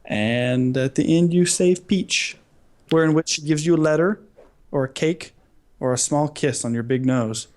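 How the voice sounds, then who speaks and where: A man's voice: fairly high pitch, unhurried, darkish tone. A man co-hosting a podcast in casual comedic banter, playful and mock-grandiose, stretching words for effect. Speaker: podcast co-host.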